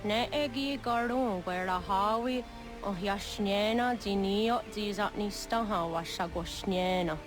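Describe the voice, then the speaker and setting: Woman acting a grave village lady in a game, really slow deliberate talk, faint music behind. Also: mid-range voice, close to an everyday voice. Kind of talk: scripted video game cutscene acting.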